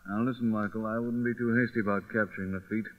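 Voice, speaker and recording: A muffled old radio recording with a long echo, where a man plays a wary townsman. He exclaims and cautions in a medium-pitched voice. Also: steady tone, quick pace, lightly acted. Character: wary, awed townsman of old New Orleans. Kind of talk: old-time radio drama acting.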